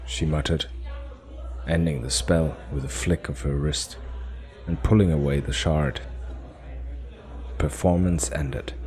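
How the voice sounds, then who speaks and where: A man's voice: very deep voice, a little mellow. A man narrating a fantasy audio drama in a scripted, dramatic storytelling style.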